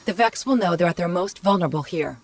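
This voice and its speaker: An actress playing a witty, upbeat little AI companion in a video game, brisk and confident. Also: female voice, fairly low pitch, expressive. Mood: urgent, explaining. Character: witty, upbeat female Ghost, small AI companion. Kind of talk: scripted video game voice acting. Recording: music playing behind the voice.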